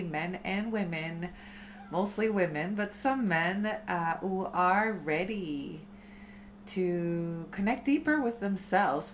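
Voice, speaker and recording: Slow, low-voiced woman chanting teacher. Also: a little hiss or hum. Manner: slow, soft, unhurried spiritual talk. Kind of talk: live-stream chat about chanting.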